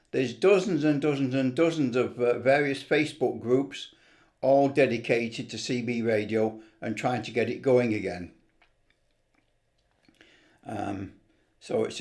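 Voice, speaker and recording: A man's voice: mid-range voice, darkish tone. A middle-aged or older man talking casually and enthusiastically in a rambling, unscripted way as he presents a hobby video. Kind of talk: casual unscripted vlog talk.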